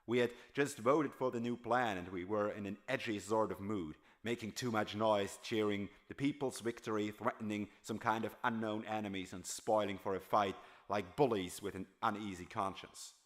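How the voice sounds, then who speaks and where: A man's voice: lowish voice, fairly even intonation, normal speed, few pauses, lightly acted. Man voicing a haunted, hesitant former factory worker. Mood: tense, remembering. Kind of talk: dramatized audiobook monologue.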